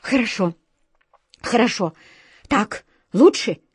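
Woman voicing a calm kindly wizard. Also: mid-range voice, takes it slowly, breathy edge, no echo, studio quality, heavily acted. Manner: calm, puzzled, reassuring.

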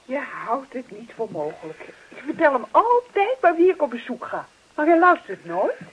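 An actress playing a chatty, insistent and exasperated wife in a radio play, with an emphatic way of talking. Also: female voice, sits high, very animated, normal speed, husky, dull audio, full character voice. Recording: old radio play recording.

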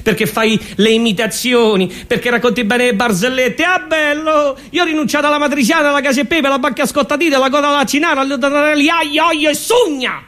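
A man's voice: extremely high pitch, steady tone, fast, few pauses, bright, forceful tone, pitched above the performer's own voice. A man voicing a vain, exasperated dieting woman with a Roman accent in a frantic, sarcastic comic stage monologue.

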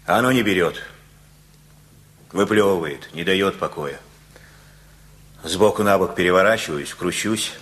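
Slow, low-voiced aging folksy father. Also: fairly bright tone. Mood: musing, weary. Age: older. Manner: folksy, rambling, reflective, repeats phrases. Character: aging father, once gruff and wilful, now softened, wistful. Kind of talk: radio play acting.

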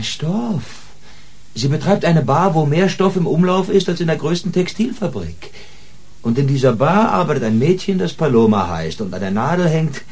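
Cheeky informant with swooping pitch. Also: male voice, darkish tone, breathy edge, heavily acted. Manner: slangy, flippant. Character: cheeky, streetwise, defiant small-time informant and hustler. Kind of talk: radio play acting.

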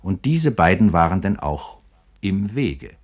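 A man with a deep voice delivers scripted radio commentary on literature. He sounds grave and deliberate, shaping his sentences rhetorically. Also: sing-song. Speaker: narrator, commentator.

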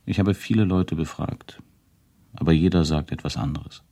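Very deep-voiced, puzzled researcher. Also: male voice, creaky, dark tone, husky, full character voice. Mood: perplexed, weary. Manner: measured, inquiring. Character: puzzled, persistent male researcher questioning old witnesses. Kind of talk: 1970s German radio drama dialogue.

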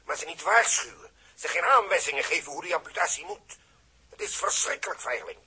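A distressed official in an old radio drama. His high voice is forceful and very husky, pressing each grave point with urgency. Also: expressive, a little fast, exaggerated voice. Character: distressed official reporting to his prime minister. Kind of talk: 1960s radio drama acting.

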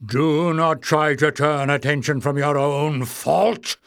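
A man's voice: fairly high pitch, takes it slowly, a bit bright, clean tone, dry recording, clean studio sound, voice pushed up. Man playing a pompous, irritable old goblin official. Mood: imperious. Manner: stern, commanding, scolding.

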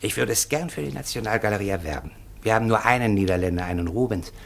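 A man's voice: lowish voice, lively intonation, fast talker, vocal fry, slight background noise. A man playing a hesitant, courtly minister in a radio play, speaking in a ponderous, formal way. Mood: confiding, regretful. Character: hesitant, courtly male culture minister of a poor country. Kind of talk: German radio play acting.

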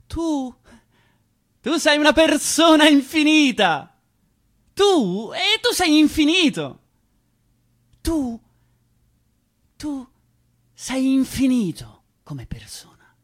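A male actor playing an anxious, self-doubting man in a halting theatrical radio monologue, with a husky, breathy voice that moves between whispers and outbursts. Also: very high voice, big pitch swings, fairly bright tone, full character voice. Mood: mock exaltation. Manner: halting, stammering, repeating words. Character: anxious, self-doubting man confessing his own limits.

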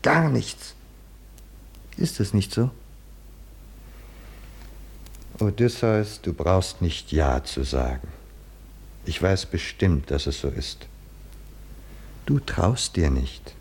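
Radio drama: stern, mocking inner mentor; low, husky voice, widely swinging intonation, insistent rhetorical admonishing. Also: male voice, big pitch swings, slow, long pauses, darkish tone, exaggerated voice. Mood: probing, disdainful. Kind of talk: 1950s German radio play acting.

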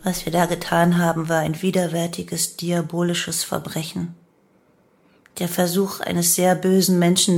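An actress playing a remorseful former cult follower in a radio play, giving a grave, subdued confession. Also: female voice, lowish voice, creaky. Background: music.

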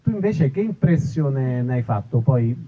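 A man's voice: mid-range voice, expressive, unhurried, slightly rough. A man hosting an informal live interview, asking questions in a conversational way. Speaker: interviewer, event host. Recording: thin phone-like live event sound.

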